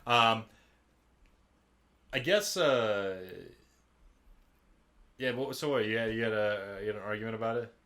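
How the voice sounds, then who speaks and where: A man's voice: on the low side, a little slow, long pauses, a bit bright. A young man, joking and chatting informally as a podcast co-host. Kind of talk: casual chat.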